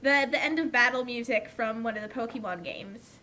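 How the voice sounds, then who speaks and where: A young woman giving casual, exclamatory commentary, often stammering in disbelief, over a slightly noisy microphone. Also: a little fast. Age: young adult. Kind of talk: casual, reactive commentary chat. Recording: noisy microphone with low rumble.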